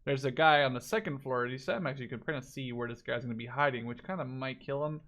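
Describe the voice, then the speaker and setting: A man's voice: medium pitch, fast, fairly bright tone. A man giving casual live commentary on a video game match, thinking aloud as he watches.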